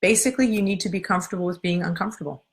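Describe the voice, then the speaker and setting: A woman coaching an audience live, explaining her steps calmly and earnestly. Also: fairly low pitch, very fast. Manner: strong voice. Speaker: coach and workshop leader. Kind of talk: live-streamed talk answering viewer comments.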